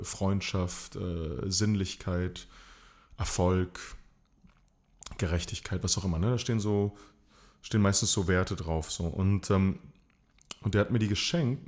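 A man's voice: on the low side, a little mellow. A man in his forties, raised in Chile, talking as a guest on a casual podcast; he hesitates, restarts phrases and pokes fun at himself. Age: 40s. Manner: hesitant, self-deprecating, restarts often. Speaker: podcast guest, actor and coach.

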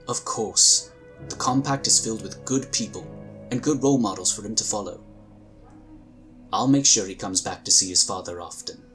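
An actor playing a courteous, reassuring inquisitor of a magical order in a sci-fi audio drama, speaking in formal, measured, polite phrases. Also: male voice, fairly low pitch, a bit bright, faint music behind, lightly acted.

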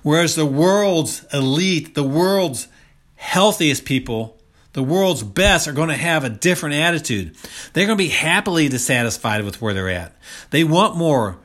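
A man's voice: fairly high pitch, lively intonation, moderate pace, a bit bright. A man of about sixty giving a motivational health talk, speaking emphatically and repeating key phrases for stress. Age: around 60.

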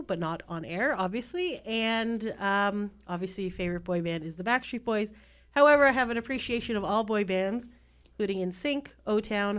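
A woman in her mid-thirties from Canada, co-hosting a podcast in casual chat with quick, teasing interjections. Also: medium pitch, clear, resonant tone. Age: 30s. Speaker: podcast co-host.

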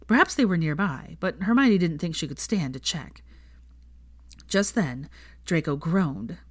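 Woman story reader, slightly low pitch, highly varied intonation, close dry recording, expressive narration. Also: dry recording. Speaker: fan fiction reader.

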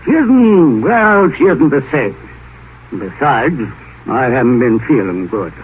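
An actor playing a gruff, ill-tempered rich old tycoon who grumbles curtly, in mid-century radio drama style. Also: male voice, medium pitch, big pitch swings, slow, heavily acted. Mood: uneasy, confiding. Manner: blustering, grumbling, curt. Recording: noisy, tinny 1950s radio broadcast.

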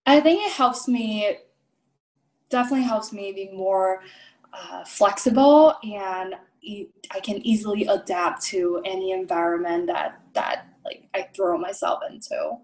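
A woman's voice: mid-range voice, expressive, measured pace, darkish tone, rough recording. Young woman guest talking casually. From Taiwan. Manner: thoughtful, frequent fillers and restarts. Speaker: interview guest, food blogger. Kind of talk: casual interview conversation.

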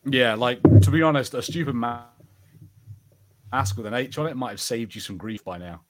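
Animated man in casual podcast banter. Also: medium pitch, normal speed, a little hiss or hum. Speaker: podcast co-host. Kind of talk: casual chat, pop-culture review.

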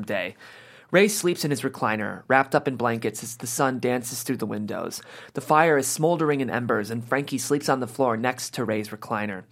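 A narrator reading screenplay stage directions and scene headings aloud at a table read. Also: medium pitch.

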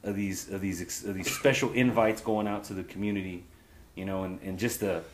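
A man's voice: low voice, fairly even intonation, brisk, reverberant, a little hiss or hum. Laid-back Texas man, swearing and saying bro. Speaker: cannabis brand founder. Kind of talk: casual podcast chat.

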